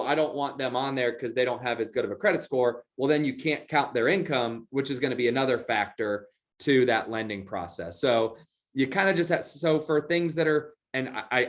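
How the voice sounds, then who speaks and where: Male bank expert; relaxed, loose explainer with many fillers. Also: mid-range voice. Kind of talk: webinar Q&A answers.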